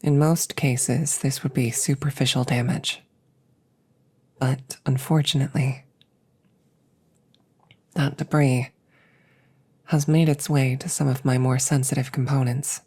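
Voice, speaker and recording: Female android, acted by a woman, intimate audio drama: very low, husky near-whisper, calm, protective, measured and reassuring. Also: full character voice. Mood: measured, factual. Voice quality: soft, breathy, often near a whisper. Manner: slow, measured, soothing, formal phrasing. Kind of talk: intimate roleplay audio drama, sleep aid.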